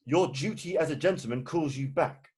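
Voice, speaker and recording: A man reading a stern, indignant, proper gentleman in an amateur play read-through on a video call. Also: fairly high pitch, normal speed, a little hiss or hum, close to an everyday voice. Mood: stern, irritated.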